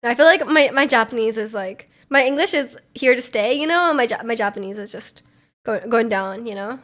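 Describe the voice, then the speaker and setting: High-voiced chatty young woman streamer. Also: a little fast, fairly bright tone. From Canada. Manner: rambling, giggly, many fillers and restarts. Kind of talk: casual chat.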